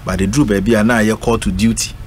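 A man's voice: on the low side, fairly even intonation, fast talker. A middle-aged man with a Ghanaian accent speaking emphatically as a guest in a radio panel discussion, repeating his phrases. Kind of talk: political panel discussion, code-switching. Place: indoor radio studio. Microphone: studio microphone on a stand. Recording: noisy live radio studio stream.

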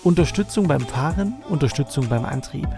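A male reporter narrates a scripted TV news piece. His voice sits fairly high and carries a noticeable gravelly fry, with music playing clearly underneath. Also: expressive, fairly quick. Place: voice-over recording with music behind.

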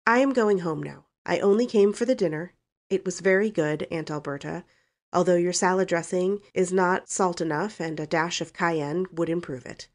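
A woman voicing a brazen, sharp-tongued young woman in a storybook, speaking boldly and emphatically. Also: fairly low pitch, moderate pace, lightly acted. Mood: brazen, cool. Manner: bold, emphatic.

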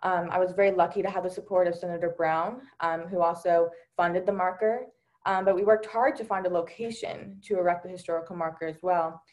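A woman's voice: fairly low pitch, fairly even intonation, brisk. A young woman from Delaware giving an earnest personal account on a video call, with lots of ums and you-knows. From Delaware, USA. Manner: earnest, many fillers like um and you know. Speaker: panelist. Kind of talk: personal account on a virtual panel.

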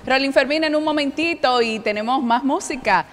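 A Dominican woman in her forties hosting a TV interview in an upbeat, drawn-out announcer way, speaking into a handheld mic in a studio. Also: sits high. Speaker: TV show host. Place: bright TV studio set. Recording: studio with long reverb.

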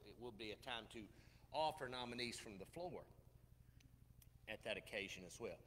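A man in his forties with a Southern US accent, a pastor giving church announcements in a folksy, chatty way, asking his congregation questions. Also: mid-range voice. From Mississippi. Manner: animated gestures at the pulpit. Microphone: pulpit microphone. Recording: reverberant sanctuary with faint room noise.

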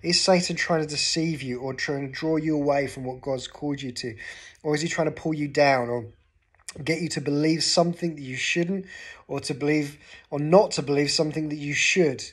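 Earnest English pastor talking to camera. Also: male voice, fairly high pitch, normal speed, slightly rough. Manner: earnest, gestures with his hands while talking. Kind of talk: short devotional talk to camera. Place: living room, seated on a sofa indoors.

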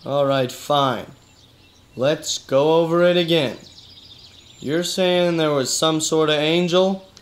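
A man's voice: a little high, slow pace. A man playing a weary, skeptical hardboiled detective with colloquial talk, in a noir-parody radio drama. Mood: exasperated. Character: exasperated, hardboiled male detective questioning his cousin.

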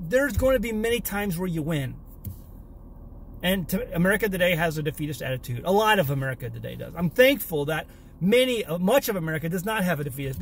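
Casting: man in his thirties, homestead vlog host, fairly high voice, emphatic and repeating himself for emphasis, selfie from a parked car with faint background hum. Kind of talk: casual selfie vlog rant. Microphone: phone or camera at arm's length.

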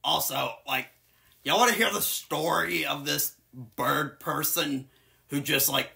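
A man's voice: fairly high pitch, takes it slowly, gravelly, pushed and bright, exaggerated voice. A man voicing a rambling male race host in a comedic fan dub, trailing off with lots of likes.

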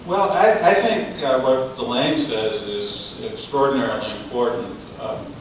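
A middle-aged man giving a measured, halting answer as a panelist at a conference. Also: mid-range voice. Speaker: panelist, labor union communicator. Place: hotel conference ballroom, recorded from the audience. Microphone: distant camera picking up the room sound. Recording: distant, very echoey room recording, faint noise.

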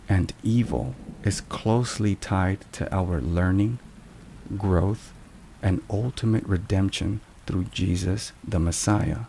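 A man giving a hushed, solemn, measured devotional narration in a soft ASMR style. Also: sits low, gravelly. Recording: rain and thunderstorm noise behind. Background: steady rain and thunder.

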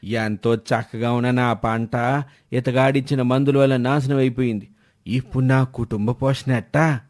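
A man's voice: medium pitch, steady tone, moderate pace, a little mellow, close to an everyday voice. A man playing an angry village farmer, accusing and aggrieved, in a moral story. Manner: accusing, indignant.

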